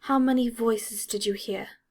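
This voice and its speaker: A woman reading the part of an earnest, protective young wizard boy in an audiobook, with a measured, firm manner. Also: fairly high pitch, fairly even intonation, darkish tone, husky. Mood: anxious.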